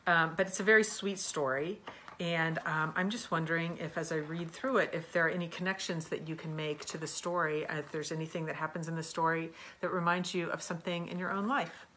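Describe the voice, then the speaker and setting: A woman's voice: low-pitched, steady tone, a little fast, pushed and bright, a little hiss or hum. A woman hosting a children's storytime, explaining things expressively and putting questions to her young listeners. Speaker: storyteller, former teacher. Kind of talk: read-aloud storytime for children, with asides and questions.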